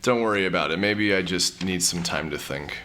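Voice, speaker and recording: A man's voice: low voice, moderate pace, background noise, lightly acted. A man playing a sheepish, nerdy hacker in an audio drama, hesitant and self-justifying. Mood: brooding. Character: sheepish, nerdy male hacker, brooding digital security veteran.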